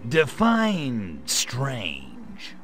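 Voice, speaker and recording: A man voicing a sarcastic gray alien invader in a video game cutscene, delivering deadpan quips. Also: mid-range voice, very slow, soft background music, full character voice. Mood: sardonic.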